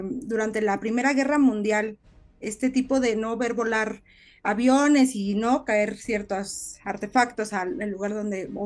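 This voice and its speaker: A woman with a fairly high voice co-hosts a casual podcast discussion. She reasons things through reflectively, often tagging her points with a small question. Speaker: podcast co-host.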